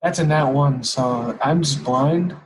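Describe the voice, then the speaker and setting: A man's voice: medium pitch, slow pace, background noise. A man playing a tabletop game, chatting casually with wry quips and jokes. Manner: wry, quipping. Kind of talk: casual joking game chat.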